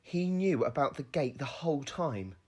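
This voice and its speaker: A woman's voice: low-pitched, animated, normal speed, a little mellow, breathy edge. A woman acting in an audio play as an intense, suspicious young adventurer searching for answers. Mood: hurt, determined.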